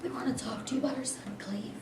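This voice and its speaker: A woman's voice: sits high, fast talker, a little mellow, lightly acted. A woman playing a worried, protective mother in a staged reading, earnest and concerned. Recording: echoey room, distant mic, noisy background.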